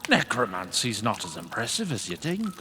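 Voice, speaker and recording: Guy doing a gruff undead war general for fantasy audio drama, blunt n insulting, big cave reverb, bit of noise. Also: lowish voice, huge pitch swings, moderate pace, pushed and bright, heavily acted. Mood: taunting. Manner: blunt, insulting, old-fashioned speech.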